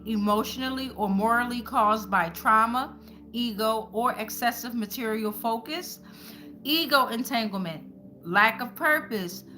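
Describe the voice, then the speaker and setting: A woman's voice: a little high, pushed and bright. A woman host giving an informal spiritual tarot reading to viewers, speaking colloquially and repeating phrases like incantations. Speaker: tarot reader and channel host.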